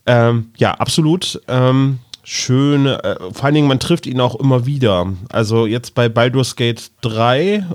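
A man's voice: mid-range voice, a little slow, hardly any pauses, no room sound, clean studio sound. A man chatting casually as a podcast host about fantasy stories. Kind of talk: casual fan chat about fantasy audio dramas.

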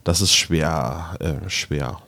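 A man's voice: a bass voice, very slow, bright, forceful tone. A man chatting casually as a podcast host, often hesitating as he searches for the right word. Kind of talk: casual chat.

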